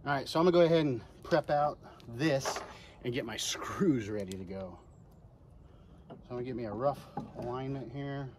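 A man's voice: medium pitch, moderate pace. A man talking casually to the camera and to himself as he builds a camera mount outdoors on his homestead. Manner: talks to himself while working, muttering encouragement. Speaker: homesteading vlogger. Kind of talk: casual narration while building. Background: loud power tool noise.

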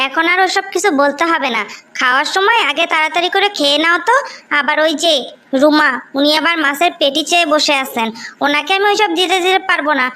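Cartoon household scold, played by a woman: Bangladeshi accent, high female voice, impatient and nagging. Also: pushed and bright. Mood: resentful, nagging. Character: impatient, resentful sister-in-law running the household kitchen. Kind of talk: animated moral story dialogue.

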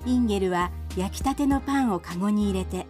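A woman's voice: medium pitch, fast talker, darkish tone, faint music behind. A woman narrator reading a scripted storybook tale for a radio drama.

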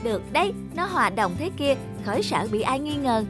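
A woman voicing a lazy, excitable teenage schoolboy in a cartoon. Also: high-pitched, fairly quick, music in the background. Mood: sly, amazed. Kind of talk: cartoon dubbing.

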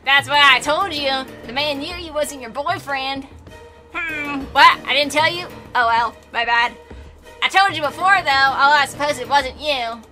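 A woman's voice: high-pitched, normal speed, sharp tone, soft background music, pitched above the performer's own voice. A woman reading aloud the lines of a cryptic, teasing young man from a visual novel, in an offhand, amused way. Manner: casual read-aloud of game dialogue, amused and offhand.

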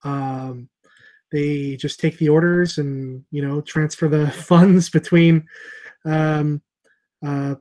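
Rambling bearded comic shop host. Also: male voice, fairly high pitch, steady tone, slow pace, dark tone. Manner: rambling, thinks aloud with many ums. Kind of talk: casual video call chat. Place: small room with shelves of comics behind. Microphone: earbud headset on a video call.

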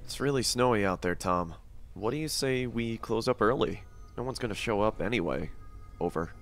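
A man with a low voice narrates a creepy first-person story in a steady, measured read. The delivery is scripted and even. Music plays clearly underneath the narration.

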